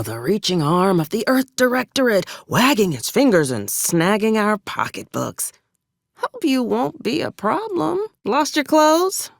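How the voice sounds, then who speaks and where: A woman's voice: on the low side, no echo, studio quality. A woman playing an ambitious, ruthless military officer in a video game, wry, with formal old-fashioned wording mixed with folksy frontier slang. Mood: wry, businesslike. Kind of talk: video game character dialogue.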